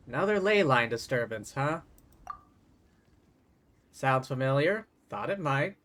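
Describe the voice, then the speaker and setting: A man's voice: mid-range voice, expressive, a little slow, a bit bright, a little hiss or hum. A man livestreaming a game, reading dialogue aloud and adding playful, wry, casual asides. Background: game music.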